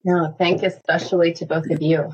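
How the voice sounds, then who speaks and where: A woman professor calmly and methodically explaining a teaching on a podcast. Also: fairly low pitch, fairly quick, muffled recording, slight background noise. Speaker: Hebrew Bible professor. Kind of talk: explanatory academic talk.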